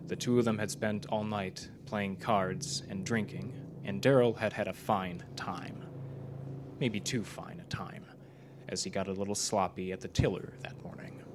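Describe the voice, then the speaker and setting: A man reading a scripted ghost story as a narrator, recorded live in a club with background noise. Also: lowish voice. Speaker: story reader. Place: performance club before a live audience.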